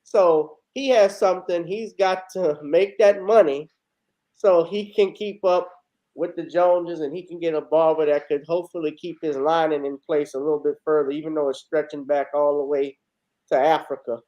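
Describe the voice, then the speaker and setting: A man's voice: a little high, fairly even intonation, moderate pace, dark tone, muffled recording. A man hosting a casual panel talk show, moderating and adding his own commentary.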